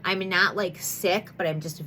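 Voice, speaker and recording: A congested, nasal-sounding woman in her thirties gushes and rambles through a chatty beauty vlog intro. Her voice is bright and forward. A faint low rumble sits under the recording. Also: fairly even intonation, unhurried. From Michigan. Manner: bubbly, gushing, rambling with many fillers. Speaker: beauty vlogger.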